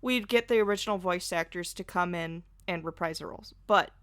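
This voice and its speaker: A woman's voice: on the low side, fairly quick, fairly bright tone, smooth, no echo, clean studio sound. A woman as a podcast guest, chatting casually in an agreeable, emphatic manner. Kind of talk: casual chat.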